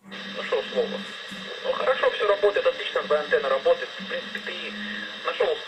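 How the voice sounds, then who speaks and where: Higher-voiced man over very noisy radio. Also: expressive, a little fast. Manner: colloquial, informal advice-giving. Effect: two-way radio. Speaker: amateur radio operator. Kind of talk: casual ham radio chat. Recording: very noisy two-way radio transmission.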